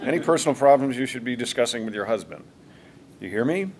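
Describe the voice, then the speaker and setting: A man's voice: medium pitch, animated, fast talker, a little hiss or hum, lightly acted, poor audio. An actor playing a slobbish, sarcastic astronaut in his late forties at a comedy table read. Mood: sardonic.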